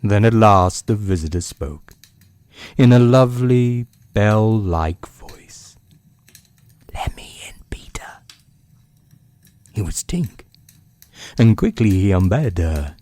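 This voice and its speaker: Deep, slow-speaking male bedtime storyteller. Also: lively intonation, a little mellow. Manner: dramatic pauses, savoured phrasing. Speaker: podcast host and storyteller. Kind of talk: scripted narration, bedtime storytelling.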